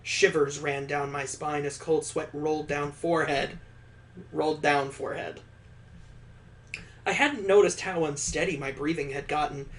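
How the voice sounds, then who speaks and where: A man with a slightly high voice narrates a visual novel for a gaming playthrough. He moves between reading the prose out and tossing in wry, joking asides in a casual way. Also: fairly even intonation, measured pace. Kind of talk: let's play read-aloud with ad-libbed commentary.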